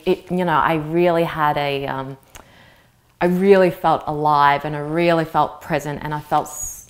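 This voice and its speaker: A woman with a notably low voice, in her thirties, talks through her answers in an interview. Her first language is Vietnamese, and she speaks in a reflective way, pausing as she looks for the right words. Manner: thoughtful, searching for words. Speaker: interviewee, actress. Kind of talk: reflective personal interview talk.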